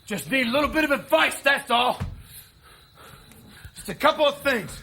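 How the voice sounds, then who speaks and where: An actor playing a pleading, hot-tempered older brother, a rough drifter in a stage play, blurting and stammering insistently. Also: male voice, extremely high pitch, animated, normal speed, sharp tone, slight background noise, heavily acted. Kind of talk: live stage play acting.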